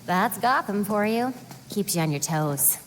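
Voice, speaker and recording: Casting: teasing young game heroine, breezy, very varied intonation, faint noise behind. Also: female voice, mid-range voice, lively intonation, unhurried, rough recording. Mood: playful. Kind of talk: video game acting.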